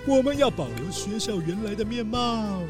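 A man's voice: high-pitched, animated, moderate pace, music in the background. A man playing a humble, determined old recycling collector in a children's radio drama, speaking with earnest, rousing emphasis. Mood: earnest, proud.

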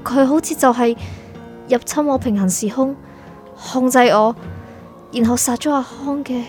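A woman plays a wronged, resolute young heroine in a radio drama, speaking Hong Kong Cantonese. Her voice is high and firm, with an accusing edge, and music plays softly behind it. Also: unhurried. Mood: dawning realisation. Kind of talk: Cantonese audio drama acting.

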